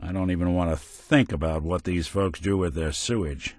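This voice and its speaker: A man's voice: deep voice, steady tone, moderate pace, a little mellow, no echo, studio quality, close to an everyday voice. An actor playing a world-weary synth detective in a video game, speaking in a wry, sardonic, old-time tough-guy manner. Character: world-weary wisecracking male synth robot detective, middle-aged. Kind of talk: scripted game companion dialogue, noir detective.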